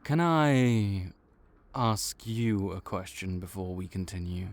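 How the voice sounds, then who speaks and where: A man's voice: sits low, steady tone, slow pace. A man voicing a male vampire intake officer in an audio roleplay, matter-of-fact and wry as he walks through the paperwork.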